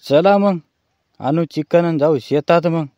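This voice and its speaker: Guy doing a rustic cartoon village buddy, rural maharashtra accent, highish voice, muffled audio. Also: a little slow, dark tone, no room sound, lightly acted. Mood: eager. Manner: colloquial, rustic. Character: male village friend in a comic cartoon. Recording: dull lo-fi dry recording.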